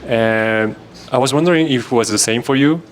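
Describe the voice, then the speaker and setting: A man's voice: medium pitch, moderate pace, slight background noise. A man hosting a podcast, asking friends questions over drinks in a loose, searching way with many fillers. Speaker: podcast host. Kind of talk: casual conversation, asking questions.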